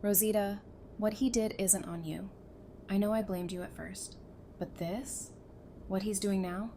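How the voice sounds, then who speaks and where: A woman's voice: mid-range voice, fairly even intonation, unhurried, warm tone, a little hiss or hum, lightly acted. A woman playing a caring, frank apocalypse survivor in a naturalistic audio drama. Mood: rueful, frank. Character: caring, frank female zombie-apocalypse survivor, hurt by betrayal.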